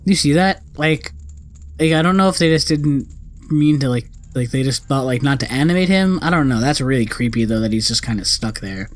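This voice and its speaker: A man's voice: medium pitch, lively intonation, a little fast. A young man giving casual let's play commentary, rambling and often correcting himself as host. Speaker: gaming video host.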